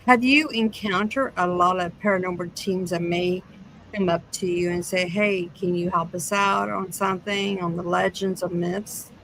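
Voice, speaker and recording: A woman co-hosting a casual interview, pausing often in the middle of her sentences. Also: lowish voice, fairly even intonation, fairly bright tone, low-quality recording. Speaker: podcast co-host. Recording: faint background noise.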